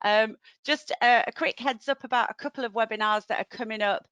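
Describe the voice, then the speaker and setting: A woman with a Yorkshire accent hosts an online webinar, upbeat and brisk. The sound is dry and close, with little room around it. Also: a little high, fairly even intonation, fairly bright tone, clean tone, no echo. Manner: friendly and upbeat, moving the session along. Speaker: webinar host. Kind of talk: hosting a webinar.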